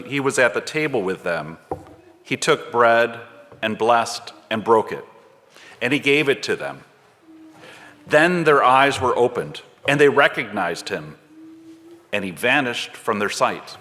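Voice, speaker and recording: Bright-voiced pastor reading in a reverberant hall. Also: male voice, mid-range voice. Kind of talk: expressive Bible reading in a church service.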